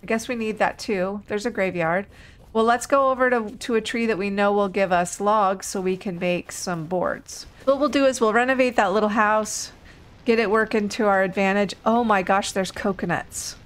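A woman's voice: mid-range voice, steady tone, normal speed. A woman hosting a casual let's play, thinking aloud unhurriedly while getting over an illness. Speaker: gaming video host.

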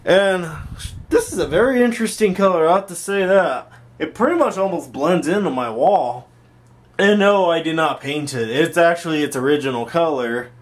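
A man's voice: fairly high pitch, lively intonation, normal speed. A man hosting a hobby tech vlog, casually narrating a tour from behind a handheld camera in a small room at home. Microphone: handheld camera held by the speaker.

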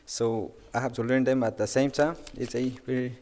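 A man's voice: mid-range voice, fairly even intonation, normal speed, darkish tone. A young man from Guatemala, speaking English as his third language, telling a personal testimony hesitantly at a church pulpit. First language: not English, third language. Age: young adult. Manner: hesitant, with fillers and non-native grammar. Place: church sanctuary, at a wooden pulpit. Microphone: pulpit mic. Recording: long reverb of a church hall.

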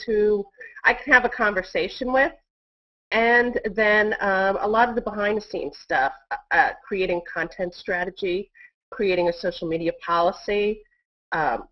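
Woman on a dull video call. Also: mid-range voice. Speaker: interview guest, community director. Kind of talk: casual interview chat. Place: indoor room at home. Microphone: earbud headset on video call.

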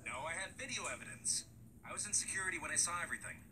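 A man's voice: a little high, lively intonation, racing, noisy background. A man voicing a confused, exasperated newcomer in a comedic cartoon. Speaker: voice actor playing a new player. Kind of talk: comedic animated character voice.

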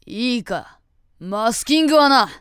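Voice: medium pitch, animated, a little slow, fairly bright tone, clean tone, no echo. A performer acting a haughty, hot-headed, unfriendly teenage boy with a curt, scornful manner, in an amateur voice drama. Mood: scolding, angry. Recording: dry, faint music underneath.

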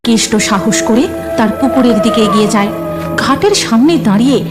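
A woman's voice: fairly high pitch, fairly quick, over loud music. A woman narrating a scripted cartoon horror story in a dramatic storytelling manner.

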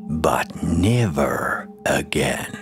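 A man narrating a scary folktale in a dramatic, deliberate storytelling manner. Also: very deep voice, big pitch swings, gravelly. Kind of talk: scripted horror folktale narration. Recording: music behind the voice. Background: music.

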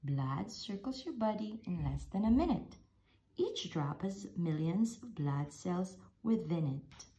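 A woman slowly reading a rhyming picture book aloud, with an expressive read-aloud manner. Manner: slow, expressive read-aloud with lively pitch. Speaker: storybook reader.

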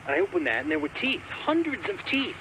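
A man's voice: very high voice, big pitch swings, fairly quick, creaky, pushed and bright, a little hiss or hum, heavily acted, rough recording. An actor playing a creepy male driver calling in to a radio show, casual and unsettling. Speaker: radio caller. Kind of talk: video game voice acting.

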